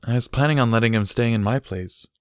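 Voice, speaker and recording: A man's voice: medium pitch, quick pace, smooth, no echo, studio quality, voice pushed up, lightly acted. A man voicing a cheerful, playful and chatty adult female pony in a storybook reading. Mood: practical.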